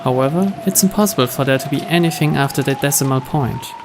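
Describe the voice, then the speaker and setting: A man's voice: medium pitch, fast, over music. A man reading a game's text aloud as dramatic scripted narration. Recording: steady rain noise behind. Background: rain.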